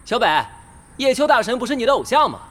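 A feisty young gamer, husky and high. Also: male voice, big pitch swings, quick pace, a bit bright, heavily acted. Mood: bantering, irritated. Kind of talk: audio drama acting.

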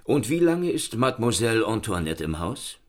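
An actor playing a methodical Paris police inspector in a radio play, questioning a witness in a businesslike way. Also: male voice, on the low side, big pitch swings, moderate pace.

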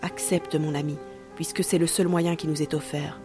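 A man voicing a pious young country woman, gentle yet resolute, with earnest delivery in a storybook tale. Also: on the high side, little pitch movement, moderate pace, faint music behind, natural voice. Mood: earnest, consoling.

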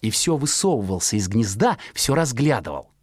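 Children's storyteller, theatrical, highly animated intonation, husky edge, scripted narration. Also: normal speed, no echo, studio quality.

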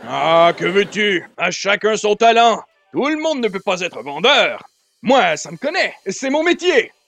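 A man's voice: sits high, very animated, unhurried, creaky, a bit bright, soft background music, heavily acted. An actor playing a boastful, jovially mocking salesman pal in a comic old-time radio play, exuberant and condescending.

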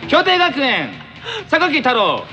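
A man's voice: very high voice, huge pitch swings, slow, lo-fi sound, over music. A man hosting a radio show with casual, playful, teasing banter.

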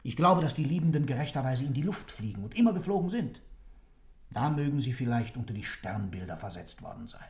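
An actor in a German radio play, playing an impassioned defendant who declaims a dark creed in fervent, rhetorical speech. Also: male voice, mid-range voice, big pitch swings, brisk, close to an everyday voice.

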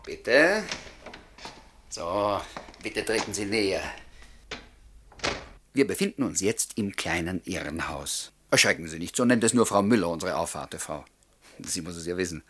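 A man's voice: deep voice, expressive, measured pace, pauses often, fairly bright tone, a little hiss or hum. An actor playing a genial writer in his late twenties, narrating a radio drama and courteously confiding in his listeners. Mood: cheerful, inviting. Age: 20s. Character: chatty, genial 29-year-old writer showing visitors around.

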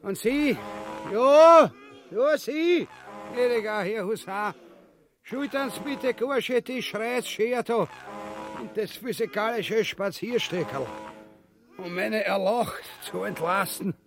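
A man's voice: high-pitched, slow, music in the background. An actor playing a vulgar, blustering, cowardly usurper king in a farcical radio drama, bombastic and grumbling with a Viennese accent. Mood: pompous, whining. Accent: Viennese Austrian. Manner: bombastic, grumbling, stammering in fluster.

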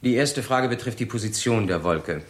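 A man's voice: lowish voice, expressive, normal speed, natural voice. An actor playing a brilliant, sober young male physicist in a 1960s German radio drama, speaking with precise, lecturing deliberation. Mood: sober, analytical. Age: 20s.

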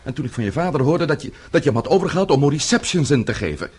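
Bewildered husband, radio drama, very breathy rough voice, lively intonation, hesitant, earnest. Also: male voice, fairly high pitch, very fast. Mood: challenging. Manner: hesitant, stammering.

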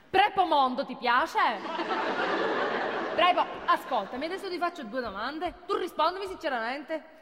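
A flirtatious, easily flustered woman on a phone date. Her voice is high and coy, and she giggles and repeats herself as in a comic stage monologue. It is recorded in a reverberant hall with some noise. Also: fairly bright tone. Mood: coy, teasing. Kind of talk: comic theatre monologue as one side of phone call. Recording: echoey theatre hall, clear background noise.

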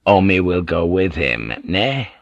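A scheming, ambitious samurai lord, voiced by a man in a storybook. He speaks quietly and eagerly, with a low, dry, close sound. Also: sing-song, a little slow, vocal fry, dry recording, muffled recording, heavily acted.

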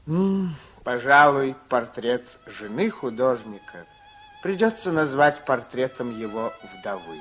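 An actor playing a gravely ill painter who banters wryly in a Soviet radio play. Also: male voice, mid-range voice, big pitch swings, takes it slowly, fairly bright tone, faint music behind. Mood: wry, joking. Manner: wry, bantering.

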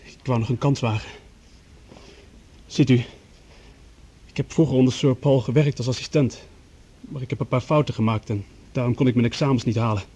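A man's voice: medium pitch, warm tone, breathy edge, natural voice. An actor playing a panicked, defensive young former assistant in a radio drama, pleading and stammering as he protests. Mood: desperate. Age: young adult.

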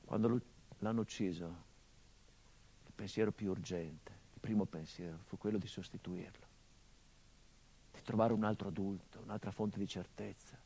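An actor playing a hardened, grieving middle-aged son, delivering a measured theatrical monologue that drops to hushed tones. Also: male voice, fairly low pitch, fairly even intonation, vocal fry, breathy edge, poor audio. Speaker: stage actor.

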